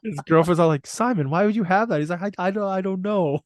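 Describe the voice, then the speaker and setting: A man's voice: sits high, fast, dry recording, studio quality. A man co-hosting a podcast in crude, casual chat, laughing through his stories and stumbling over restarts. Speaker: podcast co-host.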